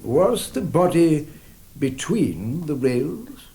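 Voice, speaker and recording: A man playing a grave English coroner in a radio drama, speaking formally and with measured emphasis. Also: a little high, sing-song, mellow, full character voice. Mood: probing. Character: grave, deliberate male coroner summing up at an inquest. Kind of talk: British radio drama courtroom speech.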